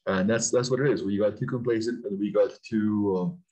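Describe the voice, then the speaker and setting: Halting Peruvian-born man on a video call. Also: lowish voice, rough recording. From Peru. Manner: halting, many fillers and restarts, animated bursts. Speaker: aerospace company CEO, guest. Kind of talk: casual interview talk.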